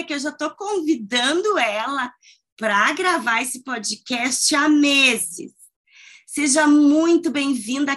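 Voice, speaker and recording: A woman hosting a podcast, welcoming her guest and asking questions in an unhurried, friendly way. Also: high voice, pushed and bright. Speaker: podcast host.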